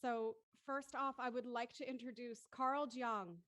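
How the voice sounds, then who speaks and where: A woman's voice: fairly high pitch, fairly even intonation, measured pace, fairly bright tone. A woman hosting an online cast discussion, introducing people in an encouraging way. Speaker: host introducing cast members. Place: online group video call.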